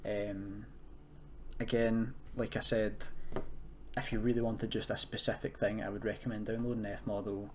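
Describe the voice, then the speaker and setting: A young man with a fairly low voice walks through a screen-recorded tutorial. He is informal and hesitant, correcting himself as he explains. There is noticeable noise and keyboard typing behind him. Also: level tone, moderate pace. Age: young adult. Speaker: tutorial presenter, university student. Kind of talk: casual screen-recorded coding tutorial, unscripted. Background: computer keyboard typing and noise.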